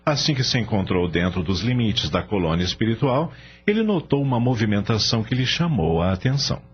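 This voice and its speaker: A man's voice: on the low side, sing-song, fairly quick, slightly rough. A man narrating a scripted radio drama as a storyteller. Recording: dull audio with faint hum.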